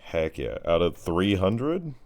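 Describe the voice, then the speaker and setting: A man's voice: low voice, big pitch swings, slow pace, darkish tone, a little hiss or hum. A man running a tabletop role-playing game as game master, bantering casually with his players.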